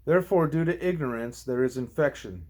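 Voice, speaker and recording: Male reader, medium pitch, spiritual audiobook narration, slightly halting delivery. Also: a little mellow. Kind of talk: reading a spiritual text aloud.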